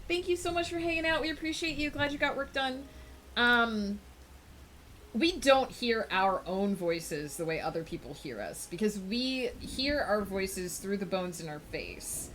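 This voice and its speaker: A woman livestreamer in casual chat with her viewers, rambling thoughtfully with many fillers and brightening up to greet people in chat. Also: medium pitch, lively intonation, unhurried, a little hiss or hum, poor audio. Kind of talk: casual chat with a live audience.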